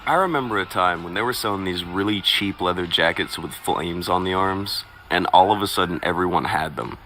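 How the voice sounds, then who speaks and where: A man's voice: deep voice, brisk, pushed and bright, a little hiss or hum. A man co-hosting a live stream, chatting casually, reading viewer comments aloud and telling crude, profane stories with a teasing edge. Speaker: stream co-host.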